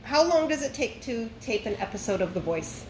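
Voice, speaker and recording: A woman reads out fan questions in a plain, deadpan tone, with an easy mid-range voice. The room echoes around her and carries a busy wash of noise. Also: on the high side, moderate pace. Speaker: friend reading out questions. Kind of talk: reading questions aloud. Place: brewery. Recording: roomy echo with ambient noise.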